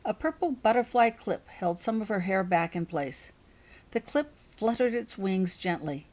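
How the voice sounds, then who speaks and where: A woman's voice: medium pitch, lively intonation, unhurried, a little mellow. A woman reading her own fantasy fiction aloud as a scripted audiobook narration.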